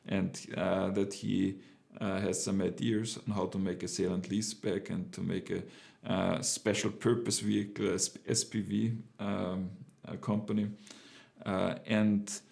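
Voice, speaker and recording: A man's voice: on the low side, gravelly, a little mellow. A middle-aged man with a German accent telling a personal business story in a casual, hesitant way, with many fillers and restarts. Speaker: entrepreneur sharing his experience.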